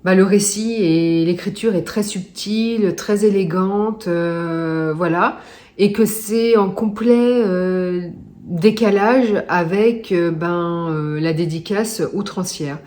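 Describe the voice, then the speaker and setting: A woman's voice: on the low side, slow pace, dark tone. Chatty woman with a stuffy nose. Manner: chatty, many hesitations. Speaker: book vlogger. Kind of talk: casual reading vlog chat.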